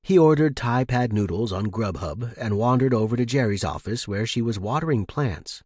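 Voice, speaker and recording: Male fiction narrator, husky and lively, dry close sound, dramatic storytelling. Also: mid-range voice, normal speed, dry recording. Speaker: audiobook-style fiction narrator. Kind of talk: scripted short-story narration.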